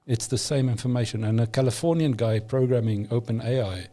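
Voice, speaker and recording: Mellow-voiced man. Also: medium pitch, a little fast. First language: Afrikaans. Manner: emphatic, opinionated, frequent restarts. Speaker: podcast guest. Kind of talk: casual conversation.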